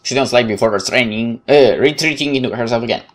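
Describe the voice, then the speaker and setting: A man hosting a let's play, reading narration aloud in a measured, dramatic way with casual commentary. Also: medium pitch.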